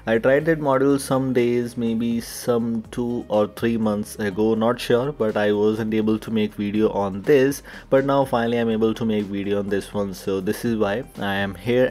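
Mellow low-voiced man presenting tech. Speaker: tech YouTuber and host. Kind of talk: casual tech tutorial voice-over.

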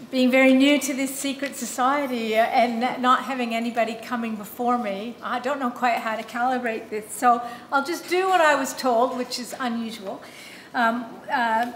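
A middle-aged woman with a Canadian accent speaks into a podium microphone in a wood-panelled room. Her voice sits fairly high, and her academic talk is measured, broken by fillers and self-corrections. A faint hiss runs under the recording. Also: rough recording. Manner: thoughtful, measured, with frequent restarts and fillers. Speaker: new academy fellow giving a speech. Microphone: podium microphone on a stand.

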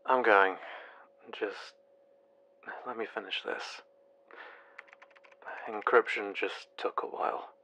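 A man's voice: lowish voice, steady tone, slow, pauses often, sharp tone, full character voice, rough recording. A man playing a weary, guarded doctor working late in an audio drama, speaking tersely with sighs. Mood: tired, terse. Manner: terse, sighing, evasive. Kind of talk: low-key naturalistic audio drama dialogue.

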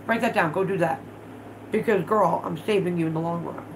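A woman's voice: lowish voice, fairly quick, noisy background. A woman in her thirties hosting a casual livestream at home, stuffed up from allergies, chatting bluntly and swearing freely while she works with her hands. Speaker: vlog host. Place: living room at home, indoors.

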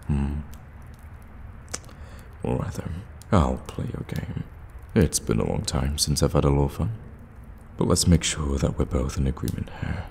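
A man playing an evil, sadistic warlock in an ASMR fantasy roleplay, teasing and menacing, often slipping into a breathy whisper. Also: a bass voice, a little hiss or hum, exaggerated voice. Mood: teasing, scheming. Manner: slow, teasing, drawn-out hums and dark chuckles.

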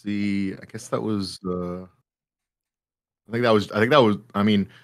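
A man's voice: fairly low pitch, fairly even intonation, measured pace. A man on a panel using a dynamic microphone, mumbling and trailing off. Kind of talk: casual online panel chat.

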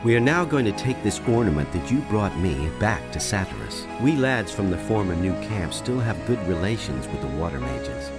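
An actor playing a wry, seasoned rogue who talks in a familiar, explanatory way in a video game. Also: male voice, sits low, sing-song, normal speed, few pauses, loud music behind the voice. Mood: explaining. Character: wry, seasoned rogue, old comrade and guide.

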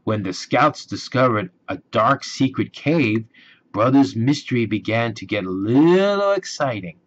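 A man reading a children's bedtime story aloud with deliberate, gentle, dramatic emphasis. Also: mid-range voice, lively intonation, dull audio. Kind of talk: expressive bedtime story narration.

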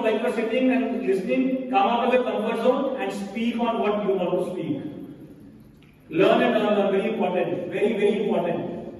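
A man's voice: high voice, a little mellow. Man speaking in an echoey school hall. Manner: encouraging, repeats "right?" and restarts. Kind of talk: motivational talk to students. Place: large school hall, indoors. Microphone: podium mic from far camera. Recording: echoey hall recorded from far off.

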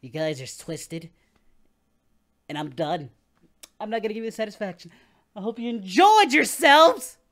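A performer voicing an excitable young male anime hero, hosting a reaction video with melodramatic yelling and wailing. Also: mid-range voice, moderate pace, long pauses. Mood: outraged. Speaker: reaction channel host. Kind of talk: over-the-top reaction commentary. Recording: music playing behind.

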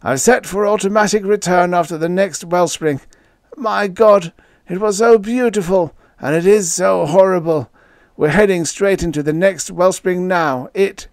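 A desperate male astronaut, horrified and urgent. The voice is raised high and strained, moaning and gasping in a close, dry sound. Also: unhurried, dry recording.

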